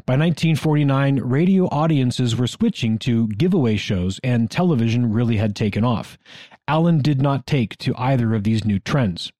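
A man's voice: medium pitch, lively intonation, moderate pace, mellow, dry recording, clean studio sound. A man with an American accent co-hosting a history podcast, reading scripted narration. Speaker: podcast co-host.

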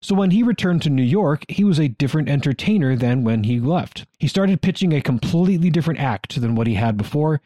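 A man's voice: on the high side, lively intonation, a little fast, few pauses, dark tone, no echo. A man with an American accent, co-hosting a history podcast with scripted but conversational narration. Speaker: podcast co-host.

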